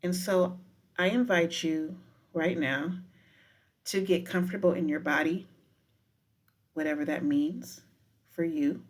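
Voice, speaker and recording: A woman guiding a meditation in a deliberate, unhurried manner with frequent pauses. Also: low-pitched, fairly even intonation. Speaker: professor guiding a breathing meditation. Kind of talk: guided meditation with spoken poetry.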